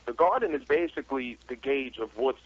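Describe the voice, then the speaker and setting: A man's voice: mid-range voice, lively intonation, fairly quick, no echo. A man from New York with an American accent, chatting casually and enthusiastically as a wrestling fan on a radio show. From New York, United States. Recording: noisy, dull radio call-in audio.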